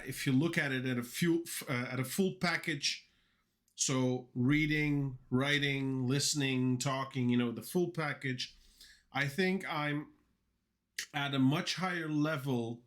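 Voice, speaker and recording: A man's voice: mid-range voice, fairly bright tone. A young man who grew up speaking Dutch and Turkish, chatting casually on a livestream while he codes, rambling with lots of fillers and restarts. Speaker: coding livestreamer.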